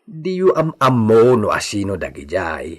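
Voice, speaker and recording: A man's voice: fairly low pitch, wildly swooping pitch, a little slow, heavily acted. A man playing a loyal servant in a radio drama, earnestly and deferentially cautioning his master. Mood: concerned.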